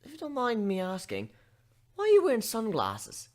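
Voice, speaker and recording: A man puts on an eager female cartoon pony, inquisitive and chatty. The voice is pitched well up, with very lively, excitable swings. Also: moderate pace, heavily acted. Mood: curious.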